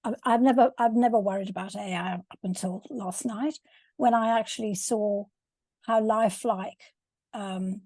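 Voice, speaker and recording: A woman's voice: medium pitch, fairly even intonation, normal speed, darkish tone, dry recording. An older woman coach sharing a comment in an online discussion, hesitant and searching for words. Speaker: coach and supervisor. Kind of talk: video call discussion. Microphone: video call.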